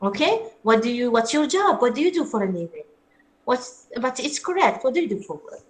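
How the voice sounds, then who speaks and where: A woman's voice: fairly high pitch, very animated, brisk. A woman with an Algerian French-influenced accent teaching English in an online class, repeating example phrases and checking whether students follow.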